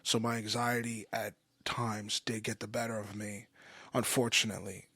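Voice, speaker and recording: A man in his mid twenties giving a personal podcast monologue, halting and often restating himself for emphasis. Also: fairly low pitch, flat delivery, pushed and bright, slightly rough, dry recording. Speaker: podcast host. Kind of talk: personal monologue, confessional storytelling.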